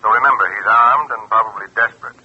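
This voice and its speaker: An actor playing a stern, businesslike police lieutenant, raspy and clipped, through a telephone filter in a 1940s radio drama. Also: male voice, lowish voice, fast. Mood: official, warning. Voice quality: raspy, sharp. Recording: dull vintage radio, phone voice.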